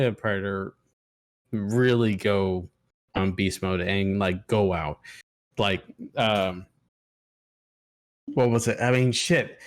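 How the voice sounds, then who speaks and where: Halting, casual man talking movies. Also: on the low side. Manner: halting, rambling, profane. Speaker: movie review co-host. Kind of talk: casual film discussion.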